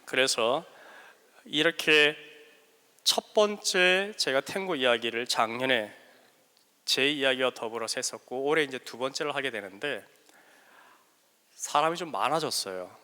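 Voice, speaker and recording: Korean guy w/ a regional dialect peeking thru, bright voice, joking mentor talk to students on a handheld mic, lots of "uh"s, echoey hall. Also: medium pitch, measured pace, long pauses, clean tone. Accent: Korean regional dialect under learned Seoul speech. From South Korea. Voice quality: joking, self-deprecating, with frequent hesitant fillers. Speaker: guest mentor lecturer. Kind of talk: live lecture to students. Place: large hall at a school workshop. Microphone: handheld microphone.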